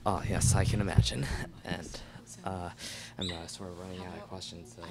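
A young adult man, a halting student interviewer who searches for words during a live show. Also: low-pitched, little pitch movement, creaky. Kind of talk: unscripted live interview and introductions. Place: indoor classroom stage before small audience. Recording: faintly noisy live room recording.

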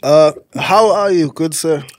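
A man's voice: a little high, a little slow. A young man hosting a podcast, joking and slangy, hyping up his guest in casual chat. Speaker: podcast host.

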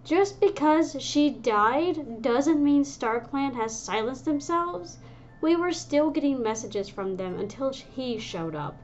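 A woman's voice: fairly low pitch, unhurried, a little mellow, muffled recording. A woman voicing a stubborn, sour young female medicine cat in a spoken cat story, talking heatedly. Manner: agitated, heated.

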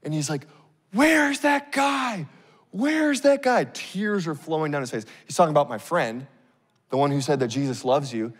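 Animated man preaching in a hall. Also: fairly high pitch, moderate pace, slightly rough. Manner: animated, acts out scenes, casual fillers. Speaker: pastor. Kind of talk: sermon storytelling. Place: large church stage. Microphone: handheld mic. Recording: long reverb of a big hall.

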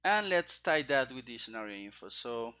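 A man in his thirties giving casual live coding commentary, haltingly thinking aloud into a headset mic. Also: mid-range voice, big pitch swings, pushed and bright, clear, resonant tone. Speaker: programmer live-streaming game development. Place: small room indoors at a desk. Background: keyboard typing and clicking.

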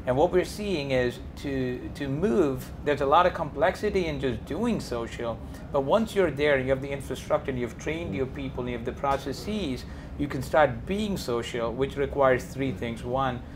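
A man's voice: mid-range voice, lively intonation, normal speed, noisy background. A man speaking on a business panel, laying out his points one by one like a presenter. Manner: listing points methodically, presenter-like. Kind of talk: panel discussion.

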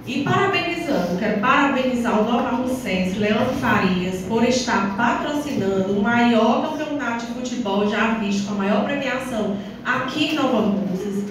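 A woman with a northeastern Brazilian accent giving a semi-formal, somewhat halting public speech as a town councillor. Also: a little high, expressive. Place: small council chamber, indoors. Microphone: phone or camera several metres away. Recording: distant, very echoey, noisy room recording.

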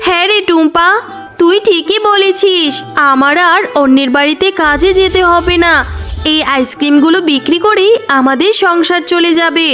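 Woman as a high-voiced, loving mother fox. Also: moderate pace, a bit bright, faint music behind. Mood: happy, affectionate. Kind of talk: children's cartoon dialogue.

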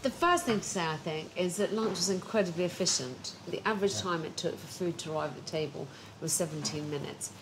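A woman presenting a business rescue show on location, brisk and decisive. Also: fairly low pitch, low-quality recording. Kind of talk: reality TV presenting. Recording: loud music bed underneath.

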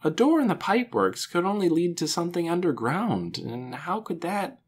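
A woman voicing a serious, thoughtful young boy in a children's audiobook, soft-spoken, hesitant and doubtful. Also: on the low side, very animated, normal speed, husky, pitched above the performer's own voice. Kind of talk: children's audiobook character dialogue.

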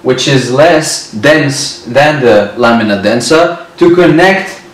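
A man in his twenties giving a whiteboard lecture on histology, speaking slowly and repeating key terms. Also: medium pitch. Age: 20s. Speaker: medical lecturer. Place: indoor room at a whiteboard. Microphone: far camera. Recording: very echoey room, distant mic.

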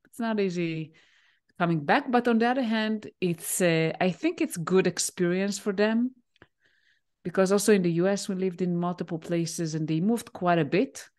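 A woman in her forties from Israel, a native Hebrew speaker, answering interview questions on a video call with a headset, pausing often with fillers. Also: on the low side, a little mellow. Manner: thoughtful, pauses with many "uh" fillers. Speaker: guest, leadership coach. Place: home room, indoors.

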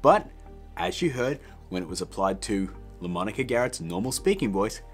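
Very animated man, casual tutorial host. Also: on the low side, normal speed. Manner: upbeat, chatty, self-deprecating. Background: background music.

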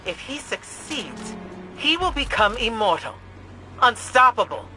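A woman's voice: fairly high pitch, big pitch swings, unhurried, music in the background. A woman playing a grave, resolute warrior-scholar mentor in a video game. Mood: urgent, resolute.

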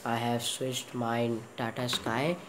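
Male tech presenter, Indian accent, mid pitch, unhurried halting step-by-step walkthrough. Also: flat delivery, background noise. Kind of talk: unscripted step-by-step demonstration. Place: indoor room in a home, in front of a TV.

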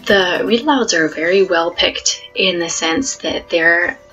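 A woman in her thirties talks casually to camera, explaining things in a hesitant way with thoughtful pauses. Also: lowish voice, sharp tone, soft background music, poor audio. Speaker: homeschooling parent and video host. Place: small plain room at home, indoors.

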